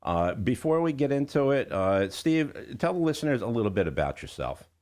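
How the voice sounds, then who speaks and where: A man's voice: medium pitch, very animated, moderate pace, darkish tone. A man hosting a music radio show, chatting informally with listeners and guests with a showman's patter. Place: radio studio.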